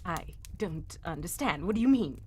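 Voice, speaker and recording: A woman's voice: lowish voice, expressive, normal speed, a little mellow, lightly acted. A woman playing a frightened young client in a live 1940s-style radio mystery, speaking in a hesitant, melodramatic way. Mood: evasive, distressed. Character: Miss Stoner, frightened young woman client seeking help. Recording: faint background noise, live performance.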